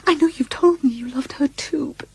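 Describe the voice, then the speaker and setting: An actress playing a bitter, haughty middle-aged matron with a breathy voice in a radio drama. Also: female voice, high-pitched, normal speed, warm tone. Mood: bitter, grieving. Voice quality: breathy, husky. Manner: frosty, disdainful.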